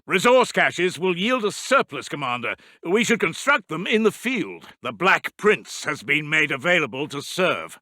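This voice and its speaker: British WWII intel officer, high-pitched, forceful, clipped, formal, urgent, dutiful, video game announcer. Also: male voice, dry recording, clean studio sound, heavily acted. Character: brisk, dutiful British Second World War intelligence officer. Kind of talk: scripted military game voice lines.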